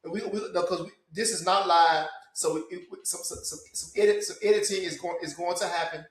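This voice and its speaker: A man's voice: high voice, fairly even intonation, a little fast, echoey room, crowd noise, rough recording. A man from Memphis hosting a casual tech podcast chat, stumbling and repeating words as he explains. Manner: repeats words and restarts often. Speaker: podcast host.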